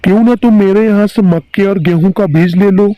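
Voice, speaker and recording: A man's voice: sits high, brisk, no room sound, lo-fi sound, close to an everyday voice. A man playing a clever, scheming village merchant with a persuasive manner in a cartoon story.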